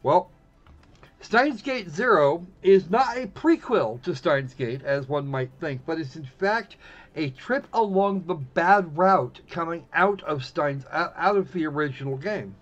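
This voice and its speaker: A man hosting a casual game live stream, talking in a rambling, halting way and repeating himself as he goes. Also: fairly high pitch, expressive, a bit bright. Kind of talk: casual live-stream commentary while setting up a visual novel. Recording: dull lo-fi mic with music behind. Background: background music.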